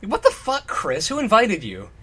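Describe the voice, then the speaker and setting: A man's voice: high-pitched, huge pitch swings, normal speed, sharp tone, husky. A man bantering casually and profanely over a dramatic reading, sarcastic and incredulous. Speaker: co-host of a comedy reading show.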